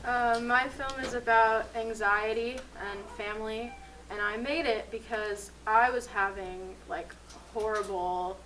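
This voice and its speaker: A woman's voice: a little high, fairly even intonation, unhurried. An eighteen-year-old woman on a film panel, speaking candidly and wryly. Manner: candid, wry. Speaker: panelist filmmaker. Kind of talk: casual panel answer. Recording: distant mic, loud noise.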